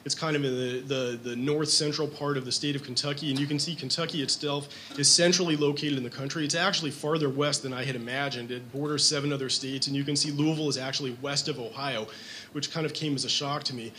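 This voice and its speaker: A man's voice: medium pitch, steady tone, fast, sharp tone, slight background noise. Chatty male doctor lecturing at a podium. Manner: conversational, self-deprecating, many ums and restarts. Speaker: physician lecturer. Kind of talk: conference lecture. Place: hospital conference room. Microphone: podium microphone.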